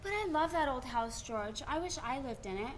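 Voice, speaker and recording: A woman's voice: high voice, lively intonation, normal speed, a crowd in the background. A woman playing a sweet, coy eighteen-year-old small-town girl in love, in a 1940s radio play. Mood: coy, wistful. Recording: live stage performance, audible background noise.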